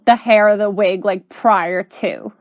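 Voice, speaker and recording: A woman's voice: medium pitch, steady tone, a little slow, no room sound, dull audio. A woman interviewer chatting casually and enthusiastically with her guest.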